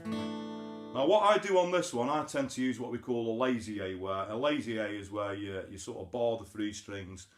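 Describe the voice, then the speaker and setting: A man's voice: on the low side, lively intonation, normal speed. A man giving a casual, chatty guitar lesson, explaining things informally step by step. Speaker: guitar teacher, host of an online lesson series. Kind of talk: casual spoken guitar tutorial. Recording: roomy sound, guitar behind the voice. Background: acoustic guitar.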